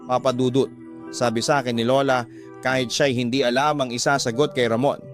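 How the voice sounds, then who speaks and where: Guy narrating a radio drama story, medium pitch, scripted read, music playing under.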